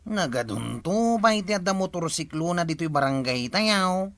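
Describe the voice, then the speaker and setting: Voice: medium pitch, expressive, normal speed, fairly bright tone, no echo. An actor playing an irritable, gossipy neighbour asking indignant questions in a radio comedy drama.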